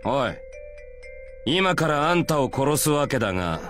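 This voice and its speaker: An actor playing a gruff, confident spearman in a visual novel game, talking in rough, casual, matter-of-fact speech. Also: medium pitch, sing-song, measured pace, fairly bright tone, soft background music. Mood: cold, resolute. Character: gruff, confident male spear-wielding warrior, blunt and unflinching.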